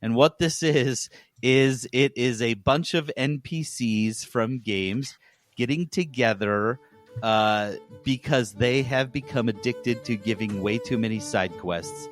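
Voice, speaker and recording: A man's voice: mid-range voice, slow, faint music behind. A man hosting a podcast in casual chat, speaking with enthusiasm and emphasis. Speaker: podcast host.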